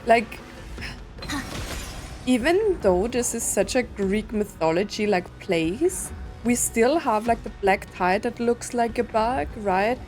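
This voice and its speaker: A woman doing casual video game commentary, musing out loud and reading on-screen text as she plays. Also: on the high side, expressive, slow pace. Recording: game music behind the voice. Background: video game music.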